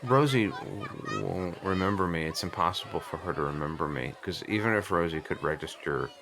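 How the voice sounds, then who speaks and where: A man playing a deep-voiced, bookish little boy. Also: expressive, fairly quick, vocal fry, background noise. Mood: eager, curious. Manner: earnest, persistent, rambling, pedantic. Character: inquisitive, bookish, socially oblivious young boy at zoo. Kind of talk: improvised comedy radio play.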